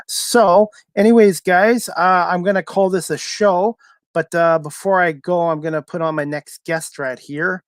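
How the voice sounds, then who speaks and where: A middle-aged man with a fairly high voice hosts a casual podcast. His delivery is halting and repetitive, and the sound is fairly dry. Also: a bit bright, no room sound. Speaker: podcast host. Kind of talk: casual podcast interview chat.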